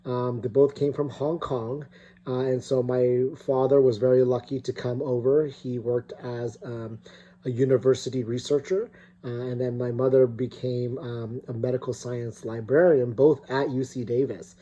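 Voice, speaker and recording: A man's voice: medium pitch, little pitch movement, moderate pace, mellow, dull audio. A middle-aged man from California giving a recorded opening talk as guest speaker, hesitant with many fillers and restarts. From California, United States.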